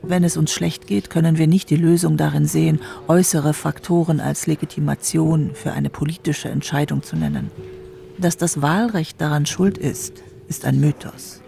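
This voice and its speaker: A man who argues his point calmly and analytically. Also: a little high, moderate pace, vocal fry, soft background music. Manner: calm, analytical, firmly argued.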